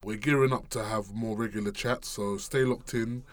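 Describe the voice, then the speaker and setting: A young man hosting a podcast, asking questions casually and wrapping up the show in relaxed slang. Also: on the low side, moderate pace. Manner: laid-back slangy talk. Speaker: podcast host. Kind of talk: casual interview and show outro.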